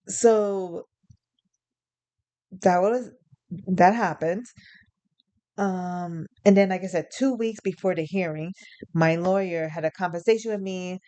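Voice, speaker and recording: A woman's voice: on the low side, a little mellow, smooth. A young adult woman from New York telling a personal story in a casual, rambling way, chuckling and correcting herself often. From New York, USA. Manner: rambling, chuckling, many fillers and self-corrections. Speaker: vlogger.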